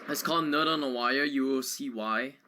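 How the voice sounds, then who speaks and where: A man's voice: mid-range voice, normal speed, noisy background. A man chatting casually while he plays a video game live, commentating in short asides. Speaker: speedrunner playing and commentating. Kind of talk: casual live gameplay chat. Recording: loud music behind the voice. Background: video game music.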